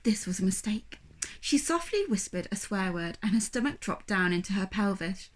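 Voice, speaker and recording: A woman reading a children's story aloud like a primary school teacher, with expressive, dramatic narration. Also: medium pitch, normal speed.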